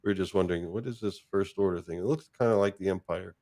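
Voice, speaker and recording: A man with a low voice talks through films in a casual podcast conversation. He speaks haltingly, working out his thoughts as he goes. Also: dark tone. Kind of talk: casual film discussion.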